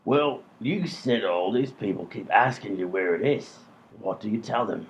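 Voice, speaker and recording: A man playing a pedantic, clueless robber in a comic radio play, reasoning aloud in a deliberate, long-winded way. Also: lowish voice, animated. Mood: dry, puzzled. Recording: muffled lo-fi sound, music behind.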